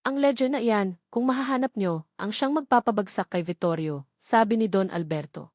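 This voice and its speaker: A Filipino woman narrates an audio drama in a mid-pitched voice. She reads scripted story prose, and the sound is dry and close, with no room around it. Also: moderate pace, darkish tone, no echo.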